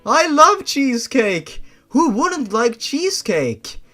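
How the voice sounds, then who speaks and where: A man voicing a nervous, twitchy young female creature with a shy, halting stammer. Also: very high-pitched, very animated, pushed and bright, soft background music, voice pushed up, full character voice. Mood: nervous, polite.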